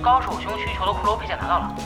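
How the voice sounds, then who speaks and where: A man playing an eager, excitable young online game teammate in an audio drama. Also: high voice, very fast, over music, close to an everyday voice. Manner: excitable, admiring. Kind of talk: Chinese audio drama acting.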